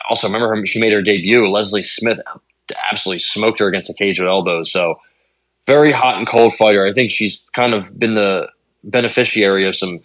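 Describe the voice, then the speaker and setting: A man's voice: lowish voice, fairly bright tone, no echo. A man co-hosting a podcast, breaking down fighters in casual chat, with many ums and I-means. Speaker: podcast co-host. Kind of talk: casual sports analysis chat.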